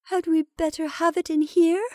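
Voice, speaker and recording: A woman's voice: sits high, slow, no room sound, studio quality, pitched above the performer's own voice, exaggerated voice. A woman voicing a timid, agitated woman in a story, hesitant and pleading like a child. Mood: weak, anxious. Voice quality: hoarse, choked. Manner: hesitant, weak, piteous.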